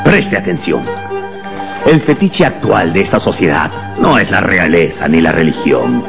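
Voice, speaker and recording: Imperious official, wildly swooping voice. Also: male voice, low voice, very animated, unhurried, exaggerated voice. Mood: lecturing. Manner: haughty, emphatic, hectoring. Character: imperious, contemptuous embassy official, pale and melancholy. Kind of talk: radio drama acting. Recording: long hall reverb, music underneath.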